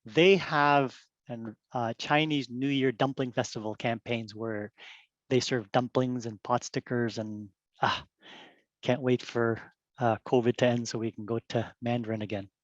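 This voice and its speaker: A middle-aged man from Korea gives a relaxed lunchtime talk to colleagues, friendly and full of ums and uhs. His voice sits at an ordinary pitch. It comes through a dull computer mic in a dry room. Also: a little slow, no room sound. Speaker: presenter. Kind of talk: casual workplace presentation.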